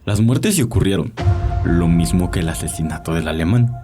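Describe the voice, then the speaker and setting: A man's voice: sits low, moderate pace, natural voice. A man playing a sly, arrogant old vampire doctor in an audio drama, telling his tales with theatrical relish. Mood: smug, amused. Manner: theatrical, leisurely, savoring storytelling. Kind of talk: audio drama character acting. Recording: music behind the voice.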